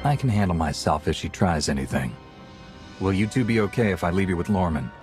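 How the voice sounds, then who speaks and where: An actor playing a blunt, resolute knight commander in a video game, firm and matter-of-fact. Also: male voice, low-pitched, expressive, a little fast, darkish tone. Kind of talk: dramatic game acting. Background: music.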